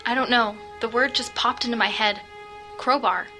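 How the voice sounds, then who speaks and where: A troubled teenage girl in a spooky audio drama. Her voice is fairly high, bright and tense. She sounds fragile and guarded, speaking in halting, defensive phrases. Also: fairly even intonation, soft background music. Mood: fragile, insistent.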